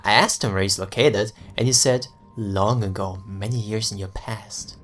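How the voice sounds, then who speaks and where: Man playing a cocky, excitable young American slacker. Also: on the low side, moderate pace, natural voice. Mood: dismissive, amused. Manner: mocking, excitable, slangy. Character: cocky, amused, sarcastic young male slacker friend. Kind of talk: dramatized audio story dialogue.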